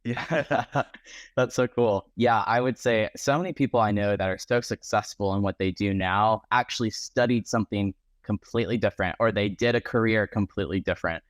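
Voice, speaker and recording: Guy interviewing, chill and encouraging, laughs a bunch, lowish voice. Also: normal speed, dry recording. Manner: warm, laughs often, affirming. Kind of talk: casual interview chat.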